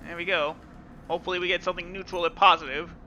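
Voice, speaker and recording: A man's voice: sits high, little pitch movement, quick pace, bright, forceful tone, slight background noise. A man hosting a gaming video, reading game text dramatically with casual asides. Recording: dull lo-fi sound with game music.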